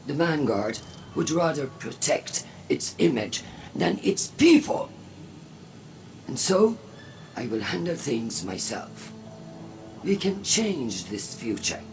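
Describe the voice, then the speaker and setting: An actor playing a grave, suspicious and proud war-faction leader in a video game, delivering measured, ominous oratory. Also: male voice, lowish voice, vocal fry, background noise, exaggerated voice. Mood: bitter, menacing. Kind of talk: dramatic video game monologue.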